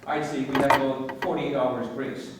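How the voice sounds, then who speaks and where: A man's voice: level tone, moderate pace, close to an everyday voice. A man playing a businesslike insurance assessor in a radio play, formal, clipped and urgent. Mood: urgent, decisive. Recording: very echoey, distant, rumbling noise.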